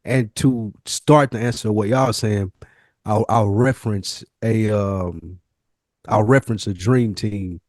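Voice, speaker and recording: A man's voice: lowish voice, fairly even intonation, slow, a little mellow, dry recording, clean studio sound. A man co-hosting a podcast, telling stories and reflecting candidly in casual, slangy language with some swearing. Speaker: podcast co-host.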